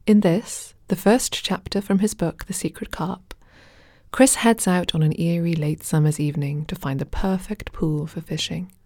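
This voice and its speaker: A woman's voice: lowish voice, moderate pace, a little mellow, no echo, studio quality. A woman presenting a podcast with a scripted introduction.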